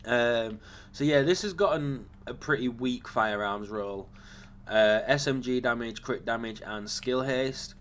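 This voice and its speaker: Slightly raspy man walking through a game build. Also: medium pitch, measured pace, slight background noise. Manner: relaxed and rambling, with frequent ums and self-corrections. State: slightly raspy from a lingering cough. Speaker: gaming channel host. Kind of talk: casual game build walkthrough.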